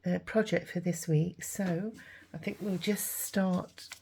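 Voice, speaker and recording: A middle-aged woman with a croaky voice, half-whispering to herself while making a collage. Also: fairly low pitch, fairly even intonation, takes it slowly, mellow, a little hiss or hum. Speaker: craft video host. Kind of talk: soft-spoken crafting commentary.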